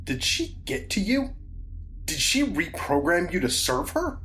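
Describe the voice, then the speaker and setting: An actor playing a skeptical, rule-following security team member in a science fiction audio drama, with blunt, colloquial, questioning delivery. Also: male voice, high voice, very animated, a little slow, a bit bright, slight background noise, full character voice, poor audio. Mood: alarmed, accusing.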